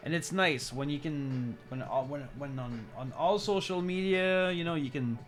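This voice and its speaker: A man in his forties chatting casually as a live streamer, halting and repeating words as he rambles. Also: a little high, faint music behind. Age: 40s. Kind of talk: casual chat with stream viewers.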